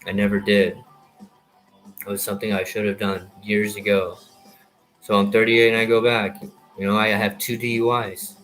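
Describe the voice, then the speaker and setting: A man's voice: on the low side, little pitch movement, moderate pace, pauses often, fairly bright tone, soft background music, low-quality recording. A man in his thirties, a military veteran, rants through a rambling, heated monologue full of swearing and false starts. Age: 30s. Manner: heated, profane, many restarts.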